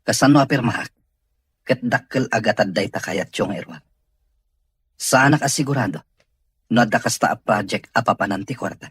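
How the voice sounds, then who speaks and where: An actor as a husky, irritable male boss. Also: medium pitch, normal speed, pauses often, a bit bright, no room sound, studio quality. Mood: wary, irritated. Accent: Ilocano. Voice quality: husky, rough. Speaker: radio drama actor. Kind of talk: Filipino radio drama dialogue.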